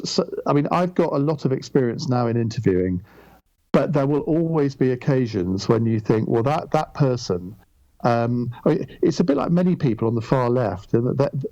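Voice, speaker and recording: A middle-aged Englishman with a calm voice, talking reflectively as an interview guest, often pausing on ums and restarting. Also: medium pitch, no room sound, muffled recording. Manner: measured, with frequent ums and restarts. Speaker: guest, radio broadcaster.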